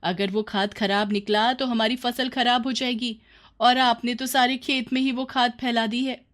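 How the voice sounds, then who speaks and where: A woman's voice: fairly high pitch, steady tone, moderate pace, a bit bright, voice pushed up, natural voice. A woman voicing a worried, sensible village farmer's wife who scolds and pleads in a cartoon moral story. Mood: exasperated worry.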